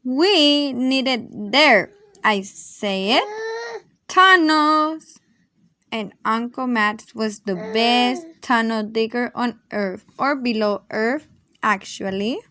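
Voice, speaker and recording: Woman, high voice, unhurried pace, expressive storybook read-aloud, dry close sound. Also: no echo.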